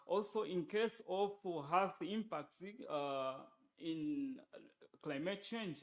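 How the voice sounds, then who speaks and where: A man giving a formal slide presentation as a student, in a halting, hesitant way with broken phrases. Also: on the high side.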